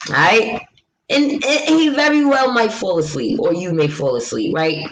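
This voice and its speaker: A young woman from New York with a low, deep voice talks frankly and at length to her livestream viewers. She answers their comments in an easy, chatty way. A faint noise sits under the recording. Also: animated, moderate pace, rough recording. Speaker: livestream vlogger. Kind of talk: casual live chat, answering viewer comments.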